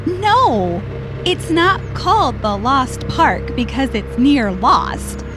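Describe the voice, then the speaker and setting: A woman's voice: sits high, slow, over music, heavily acted. A woman playing a sensible, anxious, exasperated adventurer's sidekick in a comedic children's audio drama. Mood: worried, exasperated. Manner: exasperated, excitable.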